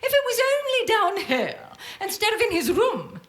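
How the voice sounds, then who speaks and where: Lady doing a grasping self-pitying housewife in a radio play, high voice all over the place, nags dramatically. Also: very animated, moderate pace, a bit bright, heavily acted. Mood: scheming. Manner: theatrical, nagging, swooping delivery. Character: bossy, grasping, self-pitying working-class wife and mother. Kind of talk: live radio play acting. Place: stage before a live audience.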